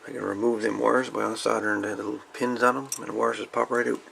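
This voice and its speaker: A man with a lowish, heavily fry-laden voice talks off the cuff like a hobbyist presenting his finds. His delivery is folksy and casual, with no script. The amateur recording carries a light layer of noise. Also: little pitch movement, quick pace, a bit bright. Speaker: hobbyist video host. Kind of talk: casual show-and-tell of salvaged radio parts.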